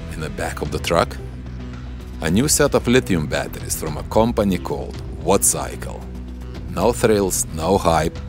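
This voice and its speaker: A man in his forties showing and testing a battery in a friendly, casual way, talking to viewers outdoors on a lapel mic. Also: deep voice. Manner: friendly, addresses viewers as guys, non-native phrasing. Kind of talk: scripted voice-over intro, then casual on-camera chat. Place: outdoors on a stone barn patio. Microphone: clip-on lapel mic.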